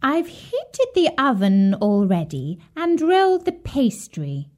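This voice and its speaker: A woman voicing a wicked old witch from a fairy tale, jeering, bossy and falsely sweet, in a theatrical, drawn-out way. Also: high voice, huge pitch swings, darkish tone, pitched above the performer's own voice, exaggerated voice. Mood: sly, wheedling. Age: elderly. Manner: theatrical, drawn-out, scolding delivery. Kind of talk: fairy-tale storybook character voice.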